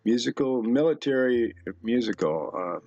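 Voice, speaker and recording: A middle-aged man giving rambling off-the-cuff commentary in a halting, musing way, as if talking to himself at his computer. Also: mid-range voice, animated, a little mellow, dull audio. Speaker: video host. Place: indoor room at a computer.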